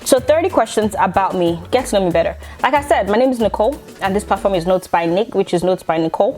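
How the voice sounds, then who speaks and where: A woman's voice: medium pitch, expressive, fast, darkish tone, faint music behind. A woman in her twenties with a Nigerian accent, chatting casually to camera from her bedroom, laughing often and restarting her sentences. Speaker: vlogger.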